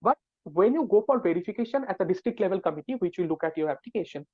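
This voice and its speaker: A man's voice: sits high, animated, quick pace, no echo. A man, an academic researcher on a webinar panel, explaining a topic step by step with frequent hesitations. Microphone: video call. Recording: dull, dry video call audio.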